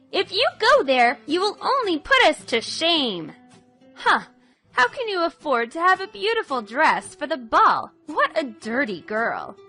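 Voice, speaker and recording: A woman's voice: high voice, bright, forceful tone, exaggerated voice. A woman voicing a haughty, mean woman of a fairy-tale stepfamily, scornful and scolding.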